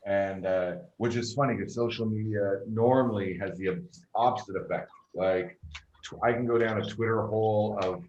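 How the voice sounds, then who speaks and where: A man's voice: lowish voice, steady tone, moderate pace, darkish tone, echoey room, highs cut off, slight background noise. A man hosting a casual video interview, gushing with enthusiasm about his guests. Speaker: host.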